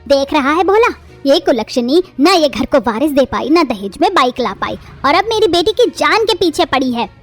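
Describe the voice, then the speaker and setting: Shrill scolding mother-in-law. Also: sing-song, moderate pace, hardly any pauses, soft background music. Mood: furious. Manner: shrill, insulting, shouting tirades. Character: harsh scolding middle-aged mother-in-law. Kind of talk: animated family drama voice acting.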